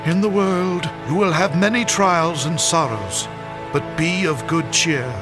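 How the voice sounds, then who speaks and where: Man playing a solemn, steady apostle. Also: fairly high pitch, music in the background, natural voice. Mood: firm, urging. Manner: solemn, measured, authoritative. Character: steady, solemn male apostle leading the believers. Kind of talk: audio drama acting.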